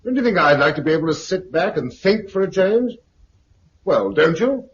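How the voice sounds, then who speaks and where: A man's voice: a little high, very animated, moderate pace. Fed-up british survivor guy, old 50s radio play, clipped delivery, voice swings up and down, muffled and hissy. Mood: irritated. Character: weary, irritable, practical male survivor of an apocalypse. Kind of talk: 1950s British radio drama acting. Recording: muffled, noisy 1950s radio recording.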